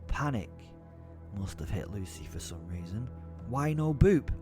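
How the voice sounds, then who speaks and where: Menacing werewolf narrator over music. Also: male voice, on the low side, very animated, a little slow, a little mellow. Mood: angry, exultant. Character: violent male werewolf narrator, gleeful, contemptuous, short-tempered. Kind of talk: dramatic first-person audio drama narration.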